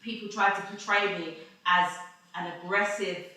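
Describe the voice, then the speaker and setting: A woman's voice: medium pitch, steady tone, moderate pace, pushed and bright, very echoey. A woman on a panel talk show speaking earnestly in a casual discussion. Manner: earnest, speaks her mind with many "like"s. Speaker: panel host.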